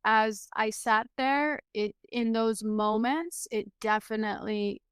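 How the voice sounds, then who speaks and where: A woman in her forties, from Iran, speaks as an interview guest in an earnest, reflective way, pausing often with fillers. Her voice is very smooth and resonant. The recording is close and dry. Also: fairly high pitch, fairly bright tone, no room sound. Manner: earnest, many fillers and restarts.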